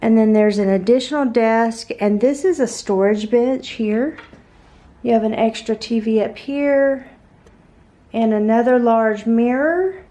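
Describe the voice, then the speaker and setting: A woman's voice: a little high, a little slow, mellow. Chatty woman giving a hotel room tour. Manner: chatty, upbeat, tour-guide pointing out details. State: slightly raspy after overnight flight. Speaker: travel vlogger. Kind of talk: casual hotel tour vlog. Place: hotel suite, indoors.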